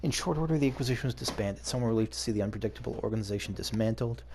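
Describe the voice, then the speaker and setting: A man's voice: fairly low pitch, very fast, vocal fry, a little mellow, slight background noise. A man commenting on a video game playthrough, thinking aloud and reading story text out. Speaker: gamer playing through a video game and commenting. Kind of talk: casual commentary mixed with dramatic reading of on-screen story text. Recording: game music behind the voice.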